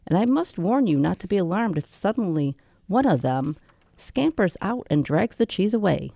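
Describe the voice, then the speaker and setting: Woman acting a mellow, creaky old widow, genteel. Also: lowish voice, sing-song, moderate pace, no room sound, exaggerated voice. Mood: hospitable, chatty. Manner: polite, chatty, genteel. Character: lonely eccentric old widow, gentle and hospitable. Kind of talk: radio drama acting.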